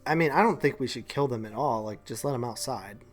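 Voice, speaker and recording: A man's voice: medium pitch, a little fast, faint music behind. Male podcast co-host. Everyday mid-range voice, informal back-and-forth chat. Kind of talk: casual banter.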